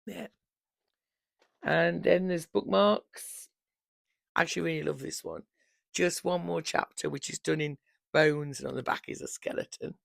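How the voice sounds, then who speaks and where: A woman in her fifties, hoarse from losing her voice, chatting casually and rambling about books on her vlog into a microphone on a holder. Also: sits low, fairly even intonation, a little slow, pauses often, dry recording, clean studio sound. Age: 50s. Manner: chatty, rambling, warm fond asides. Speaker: book vlogger.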